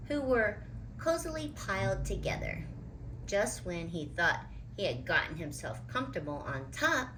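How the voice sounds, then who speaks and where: A woman storyteller reads a picture book at a slow pace, with lively swings in pitch. She leans expressively on key words, with audible noise in the background. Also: low-quality recording. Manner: expressive, dramatic emphasis. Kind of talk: children's picture book read-aloud.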